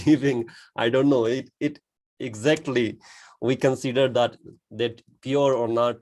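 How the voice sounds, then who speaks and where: A man's voice: mid-range voice, a little mellow. A man from Pakistan with a Pakistani accent, chatting on a video call from home, laughing often and hesitating with many uhs. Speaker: co-host and guest. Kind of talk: casual chat on a video call. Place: his home.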